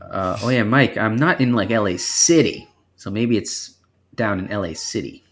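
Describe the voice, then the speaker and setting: American guy guest on a podcast, kinda low voice, rambly casual storytelling. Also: normal speed, fairly bright tone. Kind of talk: casual chat.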